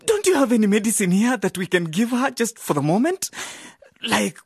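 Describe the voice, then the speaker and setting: A woman's voice: mid-range voice, big pitch swings, moderate pace, husky, no echo, studio quality. A woman playing a desperate parent of a sick child who pleads for help in a radio drama. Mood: anxious. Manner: pleading, breathless begging.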